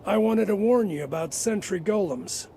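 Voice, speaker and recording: High, creaky, eager guard. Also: male voice, expressive, moderate pace, mellow, a little hiss or hum. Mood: earnest, cautioning. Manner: deferential, chatty, earnest. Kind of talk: fantasy video game voice acting.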